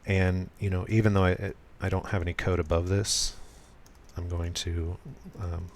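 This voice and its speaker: Soft-spoken low-voiced man explaining code. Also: level tone. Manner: soft, deliberately smooth and low-key, with frequent ums and self-corrections. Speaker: programmer streaming a live coding session. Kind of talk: casual live-coding walkthrough.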